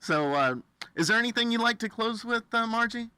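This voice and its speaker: Bright, crisp-voiced American radio man. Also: extremely high pitch, expressive, fairly quick, no echo. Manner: enthusiastic, affirming, folksy. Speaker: radio host interviewing a guest. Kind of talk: friendly radio interview.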